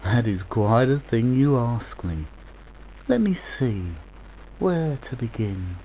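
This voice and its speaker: A storyteller voices a mysterious, motherly storybook spirit, gentle and quietly amused. It muses slowly and patiently in a soothing hush, with expressive rises and falls, on a slightly dull recording. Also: medium pitch, a little hiss or hum. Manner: patient, hushed, soothing, musing.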